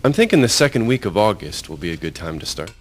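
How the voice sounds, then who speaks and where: A man's voice: sing-song, fast. A man playing an easygoing, hard-working Irish farmer in a historical radio drama, speaking in folksy rural phrases. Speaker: amateur voice actor, storyteller. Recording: faint rumbling background noise.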